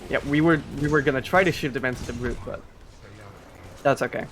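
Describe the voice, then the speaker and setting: A man's voice: medium pitch, brisk, a bit bright. A man giving explanatory live commentary on a speedrun. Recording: faint background noise, live event stream.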